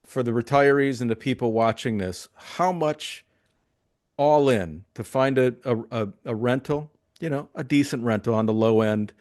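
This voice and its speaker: A man's voice: medium pitch, normal speed, a little mellow, dry recording, clean studio sound. A man hosting an online interview, enthusiastic and casual, often repeating words as he starts a sentence. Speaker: show host.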